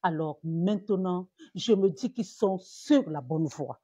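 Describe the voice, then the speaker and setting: A woman's voice: fairly low pitch, animated, slow pace, mellow, breathy edge, no echo. A woman pastor from Cameroon preaching in a live-streamed sermon, repeating words and rising to emphatic peaks.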